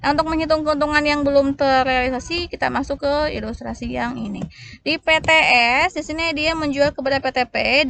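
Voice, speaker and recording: A woman's voice: high-pitched, a bit bright, slight background noise. A woman explaining as an accounting tutor in an informal, conversational way, often posing rhetorical questions.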